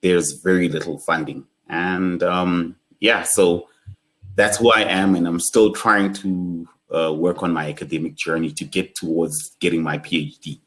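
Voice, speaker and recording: A young South African man with a South African accent, leading an online workshop in a measured, solemn way. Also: low-pitched, steady tone, a bit bright. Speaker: workshop facilitator.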